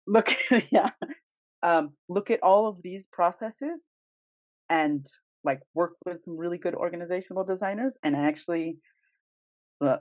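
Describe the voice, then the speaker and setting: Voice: mid-range voice, no room sound. A podcast co-host talking casually and emphatically, with strong opinions and informal phrasing. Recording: dull, lo-fi dry recording.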